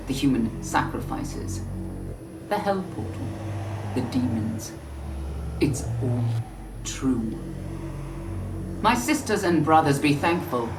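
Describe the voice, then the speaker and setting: A fanatical female cult leader from a game, solemn and menacing. She speaks slowly in an extremely low voice, heard at a distance in an echoing, noisy space. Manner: slow, solemn, preaching.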